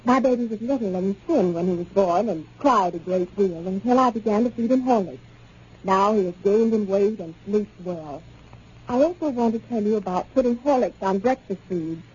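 A woman as husky-voiced grateful mother on old radio. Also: mid-range voice, normal speed, darkish tone, lightly acted. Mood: earnest. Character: grateful young mother giving a testimonial. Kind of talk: scripted 1930s radio ad testimonial. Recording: tinny phone-like 1930s radio recording.

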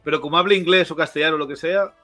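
A man's voice: on the high side, fairly even intonation, very fast, sharp tone, no echo. A man, co-hosting a casual podcast chat and making playful, jokey asides. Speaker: podcast co-host.

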